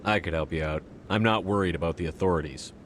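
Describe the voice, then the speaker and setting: A man's voice: deep voice, quick pace, fairly bright tone, a little hiss or hum. A man playing a blunt, self-assured military commander in a video game, speaking in a direct, matter-of-fact way. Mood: firm.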